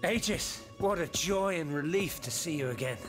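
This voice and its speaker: An actor playing a gracious, solemn host of a refuge in a video game, courtly and measured. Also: male voice, on the high side, animated, over music, natural voice. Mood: welcoming. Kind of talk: video game cutscene dialogue.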